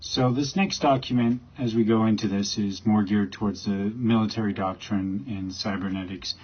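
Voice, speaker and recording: Halting, low-voiced, husky man explaining. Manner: halting, rambling. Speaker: creator presenting his project. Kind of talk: informal explanatory talk. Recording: slightly dull, lo-fi recording.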